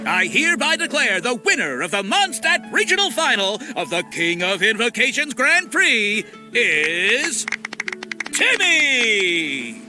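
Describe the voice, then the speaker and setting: Tournament announcer, slow drawn-out delivery, high lively voice, ceremonial. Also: male voice, music in the background, full character voice. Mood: proclaiming. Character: grand tournament announcer man, booming and ceremonial. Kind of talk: video game cutscene acting.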